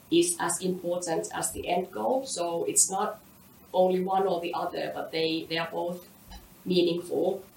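A woman's voice: fairly low pitch, a little mellow. Woman researcher giving a conference talk, careful and a bit hesitant, roomy distant mic. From Finland. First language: Finnish. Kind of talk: academic presentation, semi-scripted. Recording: echoey room, distant mic, faint noise.

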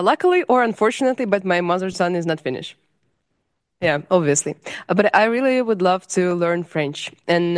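A woman's voice: on the low side, clear, resonant tone, no echo, studio quality. A woman exchange student asking a question, hesitant with many fillers. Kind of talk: question at an info session.

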